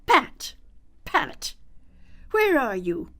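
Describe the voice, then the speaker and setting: A woman voicing an angry, bossy male rabbit in a storybook, scolding and giving sharp commands. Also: high-pitched, sing-song, drawn-out words, pitched above the performer's own voice, heavily acted. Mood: angry, impatient.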